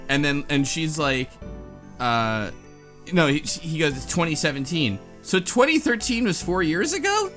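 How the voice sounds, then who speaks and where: Low-voiced woman with swooping, lively pitch. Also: a little slow, soft background music. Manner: groping for words, wry asides. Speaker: podcast co-host. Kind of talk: casual joking chat.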